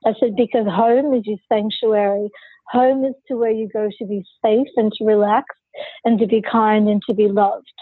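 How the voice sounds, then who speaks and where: A woman's voice: mid-range voice, steady tone, normal speed, husky, no room sound, phone-quality sound. A woman who is a podcast guest, telling her personal story candidly and forthrightly in an interview.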